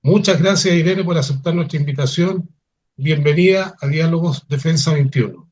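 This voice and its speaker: A man hosting an interview, giving a formal, measured introduction and then asking questions. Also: fairly high pitch, darkish tone, husky. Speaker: interview host. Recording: dull lo-fi sound.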